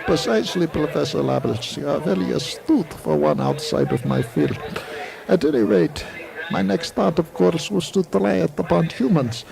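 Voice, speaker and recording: An actor playing a formal, secretive, eccentric physics professor with courtly, pedantic phrasing in a 1980s audio drama. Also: male voice, a little high, animated, normal speed, creaky, poor audio. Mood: lecturing, pleased. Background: racetrack crowd.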